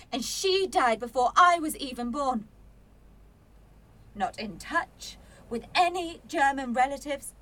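High-voiced, bitter, defensive young woman. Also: a bit bright, heavily acted. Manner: sarcastic, combative. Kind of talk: radio play acting.

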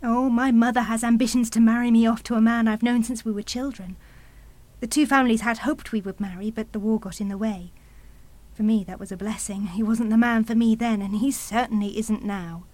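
A woman's voice: fairly high pitch, fairly even intonation, fairly quick, a little mellow, no room sound, close to an everyday voice, rough recording. A woman acting in a radio play as a proud, defensive single mother, guarded and intense in protecting her son.

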